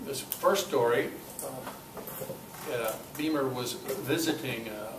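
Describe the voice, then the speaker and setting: Man in his seventies, informal club speaker, halting delivery, medium pitch, distant echoing recording. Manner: halting, searching for words. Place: club meeting room. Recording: echoey meeting room, distant mic, noisy.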